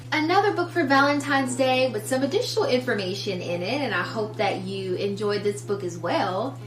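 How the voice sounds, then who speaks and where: A woman hosting a children's story time, reading aloud with deliberate phrasing and speaking directly to young listeners. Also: on the high side. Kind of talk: children's picture book read-aloud. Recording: roomy, distant mic, music underneath. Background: music.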